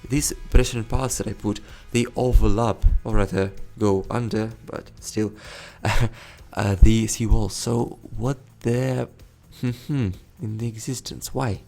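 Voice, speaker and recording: A young man talks through a city-building game in a loose, rambling way. His voice sits low with a gravelly edge, and he keeps correcting himself and chuckling. Faint background music plays under his voice. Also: fairly even intonation, slow, dark tone. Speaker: let's play host. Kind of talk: casual gameplay commentary.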